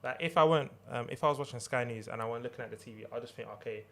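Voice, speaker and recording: A man's voice: mid-range voice, rapid-fire, vocal fry, fairly bright tone. A young man co-hosting a podcast, chatty and teasing. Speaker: podcast co-host.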